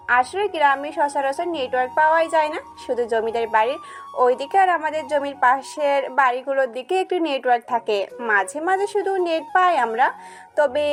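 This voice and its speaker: A woman's voice: high voice, pitched above the performer's own voice. A woman voicing a playful, teasing young village girl in an audio story. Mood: cheeky, helpful.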